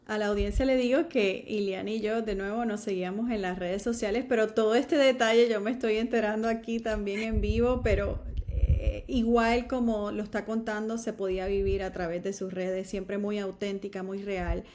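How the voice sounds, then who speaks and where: A woman hosting a conversational podcast interview, affirming her guest and reflecting the story back with halting, repeated words. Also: mid-range voice, steady tone, a little mellow. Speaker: podcast host.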